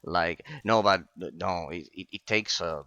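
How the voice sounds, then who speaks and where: A man's voice: low-pitched, unhurried, pushed and bright, no room sound, muffled recording. A man in his late twenties whose first language is Spanish, chatting casually with stream viewers while gaming and often stumbling and repeating words as he starts sentences. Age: 20s.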